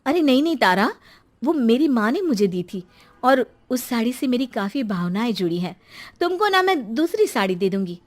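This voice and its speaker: A woman's voice: a little high, sing-song, moderate pace. A woman voicing a meek, long-suffering middle-aged mother and housewife in a cartoon story, hesitant and apologetic.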